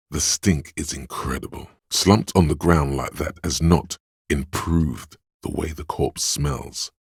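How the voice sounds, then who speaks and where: A calm, clinical inner sense that speaks straight into the player's head, its voice extremely low and rough with breath. It narrates in a measured, detached way, recorded bone-dry and very close. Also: male voice, no echo, heavily acted. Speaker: voice actor. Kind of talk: narrated game skill voice.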